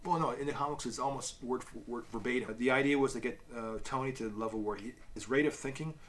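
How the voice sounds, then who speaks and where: A man's voice: medium pitch, fast talker, a bit bright. A man co-hosting a casual review chat, explaining things in a loosely worded way with asides. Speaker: co-host of a comic review show.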